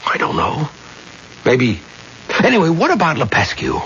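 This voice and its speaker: Desperate accused husband, higher-pitched, swooping radio-drama urgency, muffled old recording. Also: male voice, wildly swooping pitch, normal speed, a bit bright, a little hiss or hum. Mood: agitated, puzzling. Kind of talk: 1970s radio drama acting.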